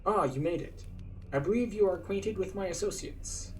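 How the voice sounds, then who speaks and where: A man's voice: sits high, normal speed, distant mic, slight background noise, pitched above the performer's own voice, close to an everyday voice, poor audio. A man voicing an earnest, solemn quest-giver who briefs an adventurer in a fantasy game. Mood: grave, urgent. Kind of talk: read-aloud fantasy game dialogue.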